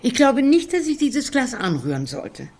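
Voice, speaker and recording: An actress playing a fretful, defensive elderly woman in a German radio drama, plain-spoken and homely, with a breathy, husky voice. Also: sits high, very animated, moderate pace, a little mellow, exaggerated voice. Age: older.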